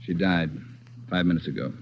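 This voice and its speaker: A man playing a commanding, no-nonsense American police chief in a 1940s radio drama, gruff and brusque. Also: low-pitched, normal speed, over loud music. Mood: somber. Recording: dull, faintly noisy vintage radio recording.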